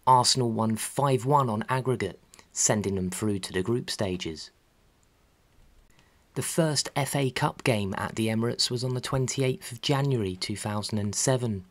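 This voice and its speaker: A man reading scripted documentary narration about sport in a measured, factual way. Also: on the low side. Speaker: narrator of a football history channel.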